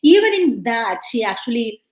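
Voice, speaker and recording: A woman's voice: on the high side, very animated, normal speed, a little mellow. A woman hosting a live-stream interview over a video call, chatty and full of "like" and "you know". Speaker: host. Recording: roomy echo.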